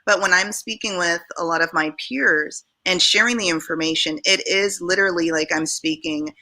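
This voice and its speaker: A woman's voice: on the low side, normal speed, sharp tone, poor audio. A woman from Jamaica speaking earnestly as a panelist in a discussion.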